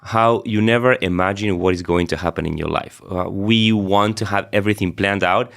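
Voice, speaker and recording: Low-voiced Argentine priest, middle-aged man. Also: steady tone, normal speed, creaky, fairly bright tone. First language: Spanish. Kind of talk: personal storytelling in an interview.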